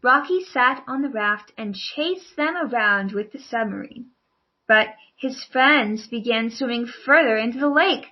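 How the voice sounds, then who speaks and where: A woman with a high voice narrates a children's read-along story. She reads at a very unhurried pace. The recording sounds slightly dull.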